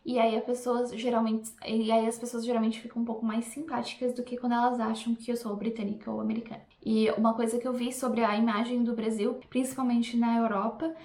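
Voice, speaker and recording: A woman's voice: fairly high pitch, flat delivery, brisk, few pauses, darkish tone, echoey room. A young Brazilian woman vlogger with a Brazilian Portuguese accent, chatting reflectively and directly to her viewers. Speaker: language teacher vlogger. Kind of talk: casual talk to camera.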